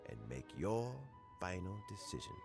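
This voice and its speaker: An older man voicing a wise elderly fisherman in a video game, speaking in a measured, earnest way over game music. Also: sits low, big pitch swings, gravelly, heavily acted. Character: wise elderly fisherman and official, calm and fair. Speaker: elder who appoints an assessment officer. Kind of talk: game voice acting.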